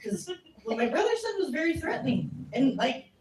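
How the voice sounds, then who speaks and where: A woman's voice: high voice, sing-song, brisk. An American woman giving a civics talk, answering questions from the audience in a candid, chatty, self-deprecating way. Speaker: civics education speaker. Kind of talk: casual Q&A at a community talk. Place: community meeting room. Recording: echoey room from a distance, faint noise.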